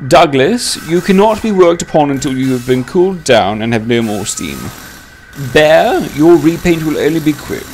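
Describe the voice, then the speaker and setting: A man voicing a brisk, authoritative inspector giving orders in a children's story. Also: a little high, lively intonation, a little mellow, slight background noise, voice pushed up, natural voice. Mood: firm.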